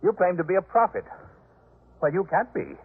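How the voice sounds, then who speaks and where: An actor playing a proud, disdainful householder in a 1950s radio drama, commanding, clipped and pompous. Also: male voice, high voice, sing-song, moderate pace, slightly rough, heavily acted. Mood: scornful challenge. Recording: muffled phone-like 1950s radio recording.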